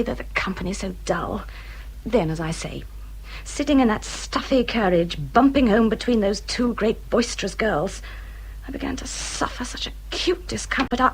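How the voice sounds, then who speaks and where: An actress playing a self-pitying hypochondriac English gentlewoman in a period radio drama, plaintive and complaining, with sighs and strong emphasis. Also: a little high, animated, moderate pace, slightly rough, poor audio.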